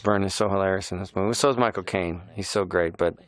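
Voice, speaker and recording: A middle-aged actor and writer chatting playfully on a film commentary. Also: male voice, low-pitched, steady tone, quick pace. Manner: joking, playful, breaks into voices.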